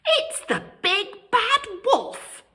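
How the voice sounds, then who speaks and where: A woman voicing an excitable, carefree little male pig in a children's storybook, cheering and yelping. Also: very high voice, extremely slow, voice pushed up, exaggerated voice. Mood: panicked, yelping. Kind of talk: children's storybook read-aloud.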